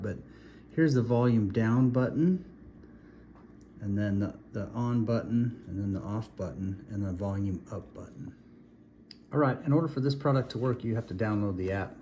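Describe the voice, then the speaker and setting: A man with a fairly low voice talks through a product review in a casual, plainspoken way. He instructs step by step, as in a hands-on demonstration. There is some background noise in the amateur recording. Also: a little mellow.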